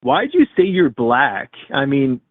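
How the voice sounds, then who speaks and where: A man's voice: medium pitch, wildly swooping pitch, a little slow, vocal fry, no room sound. A man calling in to a live stream, combative and interrupting, heard over a phone-like call line. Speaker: caller. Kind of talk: argumentative call-in.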